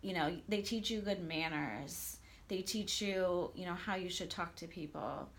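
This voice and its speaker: A woman's voice: on the low side, a little slow. A woman life coach speaking as an interview guest, earnest and enthusiastic, sometimes stumbling over how she starts a thought. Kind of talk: conversational interview.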